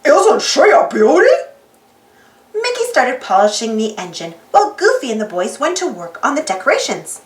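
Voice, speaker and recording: A woman reading a children's storybook aloud in an animated narrating style. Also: fairly high pitch, fairly quick, hardly any pauses. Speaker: storybook reader. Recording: roomy, slightly distant mic.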